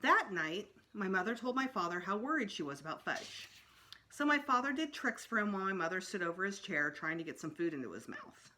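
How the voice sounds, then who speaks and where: A woman reading a children's story aloud as storytime narrator, expressive, with emphatic pauses. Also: mid-range voice. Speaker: storytime reader.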